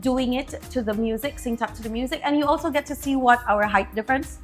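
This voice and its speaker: A young woman with a light Spanish accent chats through a pole dance lesson in a friendly, easygoing way. Her voice sits a little high. Soft music plays faintly underneath. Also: fast. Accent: Spanish-influenced English. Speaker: pole dance instructor, co-host. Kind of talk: casual tutorial chat.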